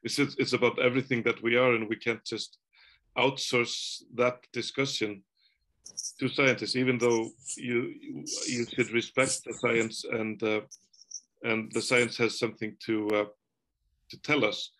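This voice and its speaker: A man in his forties, a native Icelandic speaker, talking on a video call from a room at home, thoughtful and halting with many restarts. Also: mid-range voice, flat delivery, fairly bright tone, rough recording. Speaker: author. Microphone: video call webcam.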